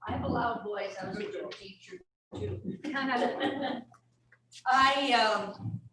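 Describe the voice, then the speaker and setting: An older woman from England, a retired teacher, telling her story at a community centre meeting in an unhurried way. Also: on the high side, slight background noise. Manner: unhurried, warm, laughs at herself. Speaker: retired teacher, board candidate. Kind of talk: personal speech at a meeting. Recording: echoey room, far from recorder.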